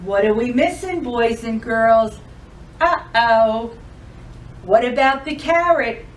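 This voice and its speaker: A woman's voice: a little high, expressive, takes it slowly, distant mic, a little hiss or hum, rough recording. A woman reading a children's storybook aloud as a storytime reader, expressively and addressing the young listeners directly.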